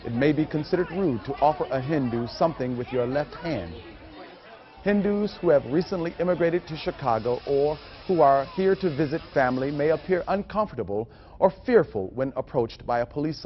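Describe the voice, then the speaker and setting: A man's voice: on the high side, lively intonation, normal speed, some chatter behind. A man reading scripted narration for a training film. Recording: muffled audio with music behind. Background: music.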